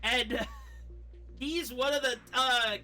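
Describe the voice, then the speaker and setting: A woman streaming a game, giving expressive, casual commentary and reading dialogue aloud, sometimes swearing. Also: on the high side, very slow. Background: faint video game music.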